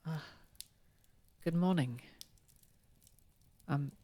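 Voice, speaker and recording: A man playing a posh, gentle, protective vampire in an ASMR roleplay, soft-spoken and often dropping into a whisper, with formal wording. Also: a little high, very slow. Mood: relieved. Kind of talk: ASMR roleplay audio drama.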